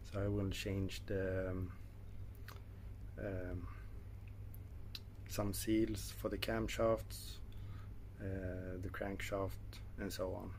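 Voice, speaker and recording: A man in his thirties with a Swedish accent, talking casually to a phone camera in a garage, with many pauses and fillers. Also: low voice, level tone, a little mellow, a little hiss or hum. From Sweden. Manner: halting, many fillers and self-corrections. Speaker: car hobbyist vlogger. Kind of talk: casual selfie vlog. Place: indoor garage. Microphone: phone held at arm's length.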